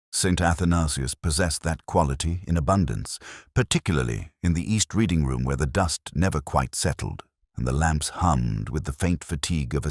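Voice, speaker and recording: A man narrating a first-person detective story in a measured, brooding, literary manner. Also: a bass voice, dry recording, studio quality. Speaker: audiobook narrator.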